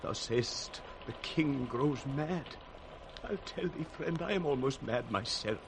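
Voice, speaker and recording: Slow, grieving old nobleman. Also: medium pitch, expressive. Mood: grief-stricken. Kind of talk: 1960s Shakespearean radio acting. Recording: faintly noisy 1960s radio recording.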